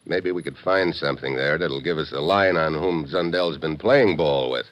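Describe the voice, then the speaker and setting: An actor playing a tough, wry American secret agent, hard-boiled and slangy, in 1950s radio drama style. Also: male voice, rumbling low, moderate pace, dull audio. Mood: thoughtful.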